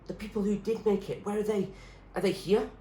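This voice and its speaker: A man's voice: high-pitched, fairly even intonation, brisk, mellow, pitched above the performer's own voice, natural voice. A man voicing an anxious, stubborn young girl who pleads urgently as she searches for her parents, in a storybook reading. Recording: echoey room with clear background noise.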